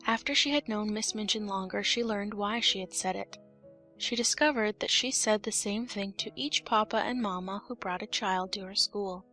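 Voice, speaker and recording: A woman narrates a storybook in a scripted reading style. Her voice sits at an average pitch. Faint music plays behind her. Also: normal speed, sharp tone. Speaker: audiobook narrator.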